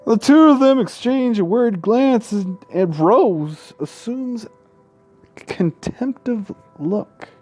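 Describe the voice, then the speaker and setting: A man's voice: very high-pitched, lively intonation, slow, a little mellow, faint music behind. A man reading game narration aloud in a let's play, irreverent and sweary, often tripping over the words. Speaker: gaming YouTuber.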